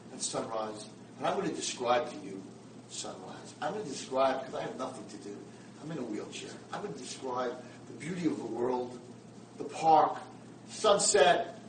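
A man's voice: mid-range voice, natural voice. A man playing a kindly, happy-go-lucky, talkative old nursing-home resident in a spoken story, earnest and persistent. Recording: roomy echo, distant mic, some background noise.

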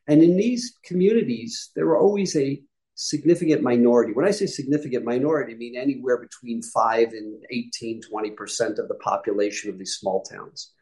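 A middle-aged man with a mellow, warm tone tells his story in a loose, explanatory way. He often stops to correct a word or add an aside as he goes. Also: medium pitch, fairly quick, poor audio. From upstate New York. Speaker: guest rabbi. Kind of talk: conversational interview answers.

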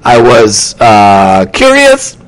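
A man voicing a curious, impulsive schoolboy in an excitable storybook manner. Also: lowish voice, moderate pace, breathy edge, pitched below the performer's own voice, full character voice. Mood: sheepish. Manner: excitable, exclamatory.

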